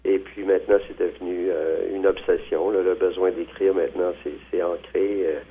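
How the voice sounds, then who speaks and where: Invité masculin, voix grave et voilée, hésitant, ligne téléphonique grêle. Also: male voice, low voice, level tone, moderate pace, slightly rough. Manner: hesitant, frequent fillers and restarts. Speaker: novelist guest. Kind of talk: phone interview. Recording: tinny phone line.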